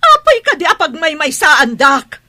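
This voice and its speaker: A woman's voice: sits high, big pitch swings, normal speed, pushed and bright, heavily acted. A woman playing a hot-tempered, bossy mother and madam of the house in a Filipino radio drama, scornful and imperious, with an Ilocano accent. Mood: resentful complaining.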